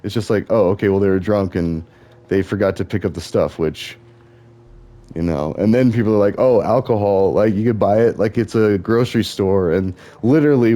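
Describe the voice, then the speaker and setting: A young man with a deep voice, musing on tangents while he plays a game. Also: warm tone. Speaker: gaming vlogger. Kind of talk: rambling commentary while playing a game.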